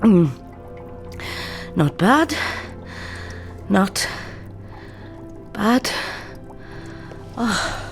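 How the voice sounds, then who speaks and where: An actress in an audio drama playing a guarded, defiant captive scientist with a terse, dry delivery. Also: female voice, mid-range voice, big pitch swings, very slow, gravelly, long pauses, music in the background, exaggerated voice. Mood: quietly resolved.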